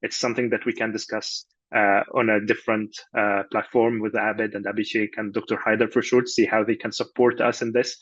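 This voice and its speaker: A man's voice: lowish voice, flat delivery, fairly quick, hardly any pauses, fairly bright tone, dry recording, highs cut off. A man from Egypt giving a thank-you speech on an online business call, hesitant and full of fillers and repeated words. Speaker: guest speaker. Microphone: video call.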